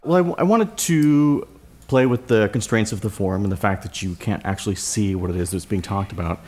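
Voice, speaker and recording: A man's voice: lowish voice, a little fast, darkish tone, a little hiss or hum. A man speaking as a playwright guest, giving a conversational interview answer.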